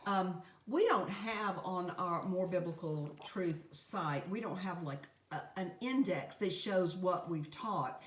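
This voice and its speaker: Deep-voiced woman co-host, hesitant and chatty, recorded off-mic in an echoey home. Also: slow pace, few pauses, slight background noise. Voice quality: deep, smooth, trained. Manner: chatty, hesitant, many fillers. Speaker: Bible study co-host. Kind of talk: casual conversation. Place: home, phone mic away from her. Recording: roomy phone recording from a distance.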